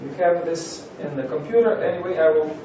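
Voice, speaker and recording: A Russian man speaking English as a second language, giving a halting seminar introduction with many pauses. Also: fairly high pitch, very noisy. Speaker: lecturer. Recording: roomy echo from far off.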